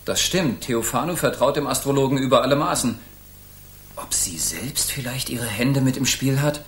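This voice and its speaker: A stern, anxious knight captain, played by a man in a radio drama for children. He has a medium-pitched voice and speaks in formal, old-fashioned courtly phrases. The sound is roomy. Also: animated, normal speed, lightly acted. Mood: grave, suspicious. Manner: formal, archaic courtly diction.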